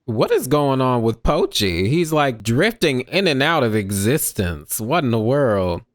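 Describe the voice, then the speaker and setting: A woman's voice: extremely low pitch, big pitch swings, unhurried, dry recording. A woman giving casual game playthrough commentary, conversational and dry in manner, close to the microphone. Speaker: gaming streamer.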